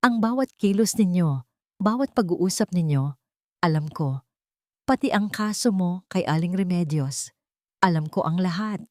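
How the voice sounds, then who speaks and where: A woman's voice: on the low side, animated, measured pace, long pauses, dark tone, no room sound, studio quality. A woman voicing a cold, vengeful, wealthy businesswoman in an audio drama, commanding and taunting. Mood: cold triumph. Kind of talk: dramatic audio story dialogue.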